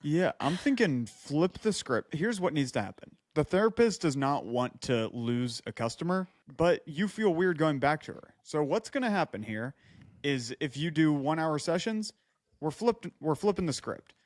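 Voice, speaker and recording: A man's voice: on the high side, sing-song, normal speed, dry recording, clean studio sound. A man co-hosting a casual comedy podcast, bantering and reading aloud, breaking off into quips and asides. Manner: interrupts his own reading with quips and asides. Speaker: podcast co-host.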